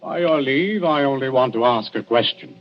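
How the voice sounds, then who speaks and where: An actor playing a genial, sly, insinuating police inspector in a 1940s radio drama, with folksy, wheedling politeness. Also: male voice, medium pitch, normal speed, lightly acted. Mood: slyly menacing. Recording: muffled 1940s radio broadcast, faint music.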